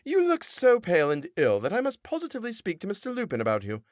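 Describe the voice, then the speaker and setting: A man's voice: wildly swooping pitch, quick pace, dry recording, clean studio sound. A man reading a novel aloud, voicing a nagging, pious middle-aged wife with a nasal twang and a scolding manner. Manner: shrill, scolding, self-righteous. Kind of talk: audiobook character voice.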